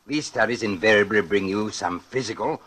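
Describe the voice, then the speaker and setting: An actor playing a disapproving, easily alarmed physician in a 1940s radio drama, protesting in exclamatory, sputtering phrases. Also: male voice, lowish voice, a little fast, a bit bright. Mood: skeptical. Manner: exclamatory, hesitant sputtering, remonstrating.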